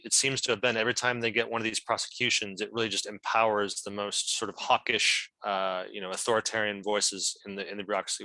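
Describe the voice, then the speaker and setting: Low-pitched man with a bright, halting delivery. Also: dry recording, rough recording. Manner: halting, thoughtful, searching for words. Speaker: member of a whistleblower's legal team, guest speaker. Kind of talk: explaining at an online meeting.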